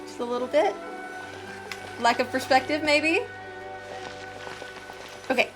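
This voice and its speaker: Gamer lady doing casual playthrough commentary, voice a bit high, game music behind her. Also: takes it slowly, low-quality recording. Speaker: gaming streamer. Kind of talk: casual game commentary and reading dialogue aloud.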